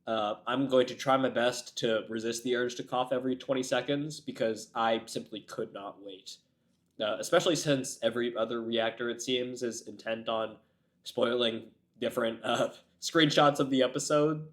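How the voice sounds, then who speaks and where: A man with a cold talks straight to his viewers, his voice raspy and a little nasal at an ordinary middle pitch. He rambles in a loose, chatty way, openly calling himself a yapper. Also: fairly even intonation, moderate pace. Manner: rambling, self-described yapper. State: getting over a cold. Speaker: anime reaction host. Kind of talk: casual chat to camera.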